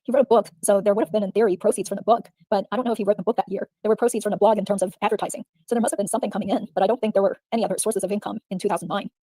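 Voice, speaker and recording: A woman voices a wary female witness under deposition. Her tone is dark and mellow, and her replies come quickly but measured. The recording is dry and close. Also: medium pitch, steady tone, no room sound, close to an everyday voice. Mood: guarded. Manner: guarded, measured answers. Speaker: reader in a deposition reenactment. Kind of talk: read-aloud legal reenactment.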